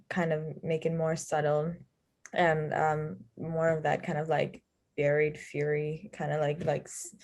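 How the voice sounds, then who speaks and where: A woman's voice: sits low, a little mellow. A woman in casual discussion on a video call, informal and searching for her words. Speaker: script collaborator giving feedback.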